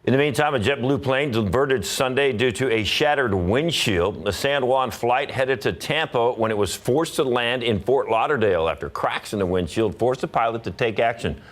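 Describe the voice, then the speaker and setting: A man's voice: mid-range voice, expressive, normal speed, few pauses. A man with an American accent reading scripted television news as a morning anchor.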